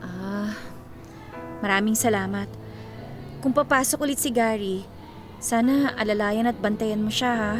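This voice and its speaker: A woman's voice: mid-range voice, a little slow, pauses often, lightly acted. An actress with a Filipino accent playing a caring, worried young woman in a Tagalog radio drama. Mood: earnest concern. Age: young adult. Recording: music clearly under the voice.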